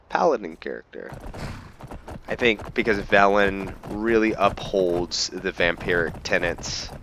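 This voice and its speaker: Low, slow-talking man narrating gameplay. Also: bright, forceful tone, slight background noise. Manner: thinking aloud, halting and fragmentary. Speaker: gaming video host. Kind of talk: casual gameplay commentary. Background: game music and sound effects.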